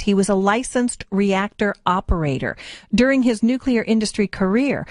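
A woman works as a radio host in an interview setting. Her pitch sits in the middle range for a woman. The sound is dry, with very little room to it. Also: a little mellow, no echo. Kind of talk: radio interview, introducing and questioning guest.

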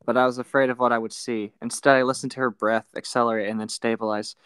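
A man's voice: medium pitch, level tone, quick pace, a bit bright, clear, resonant tone, dry recording. A man talking casually with friends and reading a novel aloud. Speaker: co-host reading a book aloud for commentary. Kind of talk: casual chat and read-aloud.

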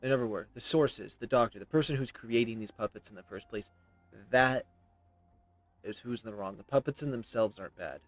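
A man's voice: medium pitch, fairly quick. A man in his thirties giving casual, opinionated, emphatic commentary as he plays a video game. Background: faint game music.

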